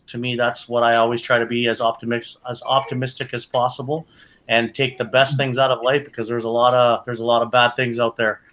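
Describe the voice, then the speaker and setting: Bright, forceful man, rambling and earnest. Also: medium pitch. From Canada. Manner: rambling, earnest, repeats phrases. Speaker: jiu-jitsu coach. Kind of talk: casual chat.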